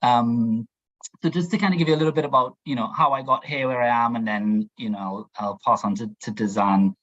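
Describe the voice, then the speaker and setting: A man with a Trinidadian accent introducing himself on a panel, talking in a halting way and often repeating words. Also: medium pitch, fairly even intonation, fairly bright tone, no room sound. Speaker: panelist, LGBT advocate. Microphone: video call. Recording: dry, dull video call audio.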